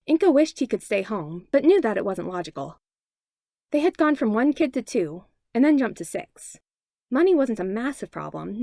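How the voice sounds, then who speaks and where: A woman reading a fan-written story aloud in calm, even storytelling narration. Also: on the high side, no echo, studio quality. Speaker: podfic reader. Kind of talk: scripted narration.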